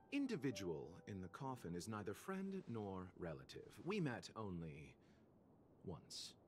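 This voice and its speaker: Suave, slow-spoken gentleman, swooping pitch. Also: heavily acted. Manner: genteel, measured phrasing. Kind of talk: anime-style game voice acting. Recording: game music behind the voice.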